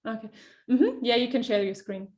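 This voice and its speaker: A woman with a fairly high voice hosts an online webinar and interprets a guest's talk. She speaks quickly, with plenty of fillers and restarts. Her voice comes over a video call. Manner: quick, lively, many fillers and self-corrections.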